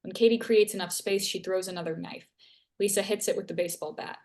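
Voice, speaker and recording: A woman's voice: mid-range voice, fairly even intonation, quick pace, darkish tone. A woman narrating scripted action as the stage-direction reader at a live screenplay table read.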